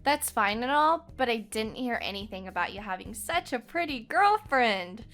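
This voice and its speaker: Lady as gushy teasing anime idol girl, bright kinda high voice. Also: very animated, normal speed, faint music behind. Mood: effusive, teasing. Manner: effusive, teasing. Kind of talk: anime-style character voice acting.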